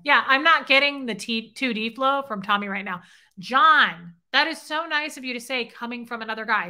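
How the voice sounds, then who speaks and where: Bright-voiced woman hosting a playful livestream. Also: fairly high pitch. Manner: playful, teasing, flirty banter. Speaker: livestream host. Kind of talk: casual livestream chat reading comments. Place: home living room, indoors. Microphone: webcam at desk.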